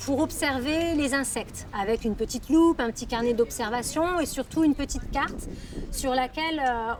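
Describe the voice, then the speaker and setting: A woman's voice: fairly high pitch, darkish tone. A woman working as a council project officer, explaining an outdoor environmental event with frequent hesitations and fillers.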